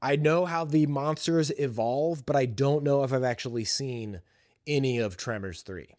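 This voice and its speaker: A man's voice: medium pitch, moderate pace, darkish tone, no room sound, studio quality. A man hosting a solo podcast, chatting casually and informally about films and games in slangy, hesitant phrases. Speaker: podcast host.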